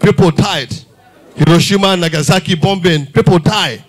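A Nigerian man in his thirties doing a stand-up comedy set on a theater stage with a handheld mic, animated and full of rhetorical questions. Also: sits high, normal speed. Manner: animated, mixes Pidgin and English, rhetorical questions. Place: large theater stage with audience.

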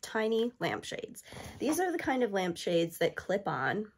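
A woman's voice: medium pitch, very animated, unhurried, slight background noise. A woman in her thirties, stuffy from allergies, chatting eagerly to camera about thrift finds with lots of ums. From New York State. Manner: chatty, enthusiastic, many ums. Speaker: thrift haul vlogger. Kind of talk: casual chat to camera. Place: indoor room with toy shelves.

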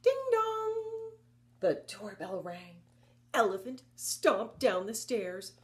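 A woman presents a story time for small children in an expressive, encouraging way. She speaks slowly and deliberately, with a very lively melody that rises and dips as she reads rhymes and picture books and asks the listeners questions. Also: medium pitch. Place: indoors, small book-lined room at home.

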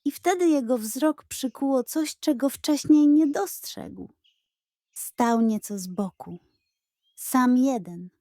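A woman narrating a children's story in an expressive, scripted storytelling style. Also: high voice, slow pace, no echo, studio quality.

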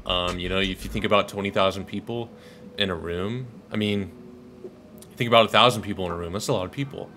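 Deep monotone young man, laid-back vlog. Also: fast talker, soft background music. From Dallas, Texas. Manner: laid-back, rambling with many ums. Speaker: videographer and channel host. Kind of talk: casual thank-you vlog to camera. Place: small pink-lit bedroom, indoors.

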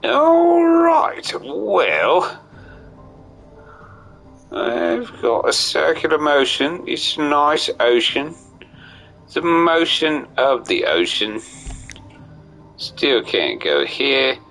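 A man's voice: fairly high pitch, wildly swooping pitch, extremely slow, vocal fry, pauses often, fairly bright tone. A man giving casual live commentary on a game, rambling in whimsical asides to himself. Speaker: game streamer. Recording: game music behind, slight mic echo. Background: video game music.

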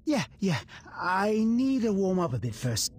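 An actor playing a cocky, jittery police officer in a video game, excitable and emphatic. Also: male voice, high-pitched, measured pace, slight background noise. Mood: eager, nervous. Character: cocky, jittery male cop, boastful but fearful. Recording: loud music behind the voice.

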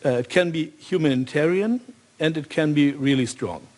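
Halting German man on a panel. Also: a little high, a little mellow. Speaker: panel speaker, politician. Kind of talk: panel discussion, speaking off the cuff. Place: large hall stage at a symposium.

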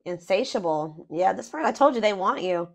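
A woman's voice: medium pitch, animated, moderate pace, gravelly, noisy background. Chatty woman reading tarot aloud. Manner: thinking aloud, many fillers and restarts. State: voice going hoarse. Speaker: tarot reader. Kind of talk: casual tarot reading narration.

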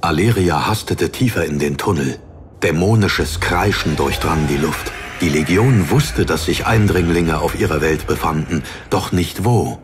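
A man narrating a fantasy audiobook in a dramatic, solemn, deliberately phrased style. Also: sits low, soft background music.

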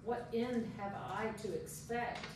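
A woman's voice: a little high, fairly even intonation, takes it slowly. A woman playing an anguished, despairing sufferer who cries out against God in a solemn dramatic scripture reading. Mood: anguished pleading. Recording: echoey room from far off, faint noise.

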